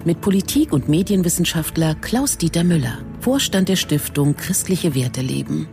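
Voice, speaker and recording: Woman announcer, very low and gravelly, lively lilt, faint music bed. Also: normal speed. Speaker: radio announcer. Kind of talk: station announcement.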